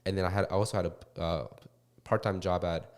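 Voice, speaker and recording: A young man in his twenties talks as a guest in a relaxed interview. His voice sits very low, and he rushes through his answers with lots of restarts and fillers. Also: smooth. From Korea and California. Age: 20s. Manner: fast, halting, with many restarts and fillers. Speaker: guest, real estate investor. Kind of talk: casual interview talk.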